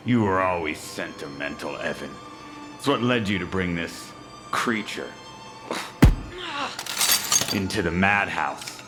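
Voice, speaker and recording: An actor playing a hulking, mocking turncoat villain in a dark audio drama, taunting and cold in his delivery. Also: low voice, slow pace, pauses often. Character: menacing, mocking hulking male turncoat, superhumanly strong. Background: music.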